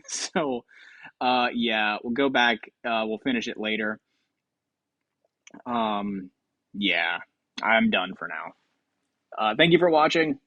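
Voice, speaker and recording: A man's voice: on the low side, slow, pauses often, no room sound, highs cut off. A man giving casual, rambling commentary as a video host, hesitant and self-deprecating, close to the mic. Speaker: video host ranking game voice lines.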